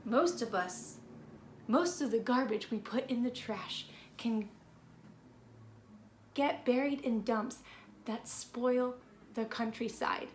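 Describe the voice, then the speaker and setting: A woman reading a picture book aloud to young children in an expressive, deliberate storytelling manner. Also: fairly high pitch. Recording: roomy echo with background noise.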